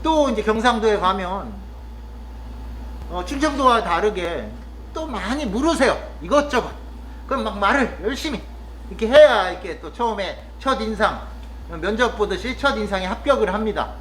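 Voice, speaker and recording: A man from Korea's Chungcheong region talking casually and reflectively to the camera, pausing often with fillers as he muses. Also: high voice, animated, a bit bright, slight background noise, rough recording. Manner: thoughtful, musing, with frequent pauses and fillers. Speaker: vlogger living in the countryside.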